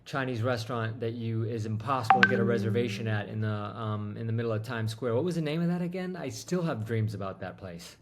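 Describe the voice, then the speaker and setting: Chatty host, halting with fillers. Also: male voice, mid-range voice, background noise. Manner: rambling, lots of fillers. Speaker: podcast host. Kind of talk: casual interview.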